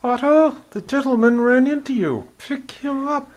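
A woman's voice: sits high, normal speed, a little mellow. An actor, heard as a woman, playing a falsely courteous, coolly commanding gang boss in an old radio crime drama. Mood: coolly menacing. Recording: noisy old radio recording.

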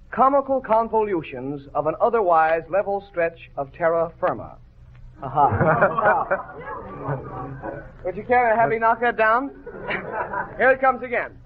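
Guy doing old radio quiz host, high voice, jokey wordy banter, tinny sound. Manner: jovial, bantering, wordy mock-formal phrasing. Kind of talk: old-time radio quiz show hosting. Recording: tinny vintage radio broadcast.

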